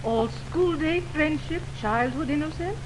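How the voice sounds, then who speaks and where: A woman's voice: sits high, a little slow. A woman playing a wounded, indignant young woman in love, in theatrical, declamatory 1920s radio Shakespeare style. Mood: hurt, indignant. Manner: theatrical, declamatory verse delivery. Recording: noisy 1929 radio broadcast on optical film.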